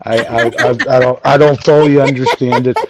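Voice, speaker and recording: A Canadian man in his forties with a booming voice, telling his story in a rambling way as a podcast guest. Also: fairly even intonation, a little fast, very noisy. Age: 40s. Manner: rambling, many fillers and restarts. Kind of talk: casual storytelling chat. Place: home. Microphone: remote video call.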